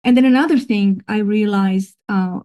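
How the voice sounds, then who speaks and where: A woman's voice: medium pitch, normal speed, clear, resonant tone, muffled recording. A woman with a foreign accent, a podcast guest, telling her own story in an earnest, reflective way. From grew up in another country. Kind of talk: casual interview talk.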